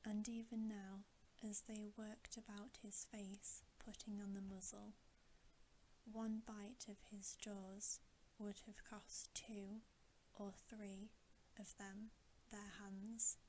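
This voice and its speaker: A woman reading a bedtime story in a hushed, breathy, deliberate ASMR whisper, close to the microphone. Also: medium pitch, rough recording. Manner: hushed, unhurried, softly deliberate. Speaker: ASMR storybook reader and host. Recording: steady audible hiss.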